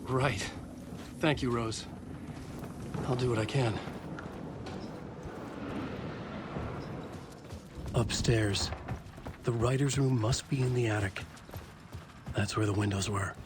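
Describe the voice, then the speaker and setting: An actor playing a troubled middle-aged horror writer, speaking gravely and deliberately in short, terse phrases in a video game. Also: male voice, medium pitch, steady tone, background noise, close to an everyday voice. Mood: resolute. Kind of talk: dramatic game dialogue and brooding narration. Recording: loud music behind the voice. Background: loud cinematic game music.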